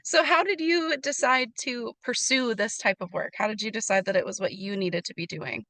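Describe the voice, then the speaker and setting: A woman's voice: mid-range voice, moderate pace, a bit bright. A woman with an American accent, speaking conversationally as the host of a podcast.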